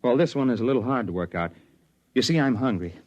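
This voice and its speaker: A man's voice: medium pitch, animated, quick pace, darkish tone, natural voice. An American actor playing a wry, plainspoken everyman time traveler in a 1950s radio drama. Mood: grim, accusing. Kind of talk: 1950s radio drama acting, narration and dialogue. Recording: dull vintage 1950s radio recording.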